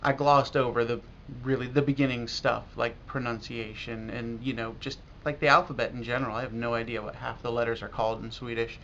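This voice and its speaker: An American man talking casually and reflectively in a video blog as a language learner, halting now and then with pauses. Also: medium pitch, level tone, sharp tone. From United States. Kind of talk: casual talk to camera about learning. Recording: dull lo-fi audio with clear noise.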